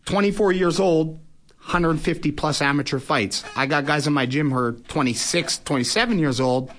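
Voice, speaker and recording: A man's voice: a little high, moderate pace, fairly bright tone. A man, speaking plainly and emphatically as a boxing promoter and fighter in a conversational interview.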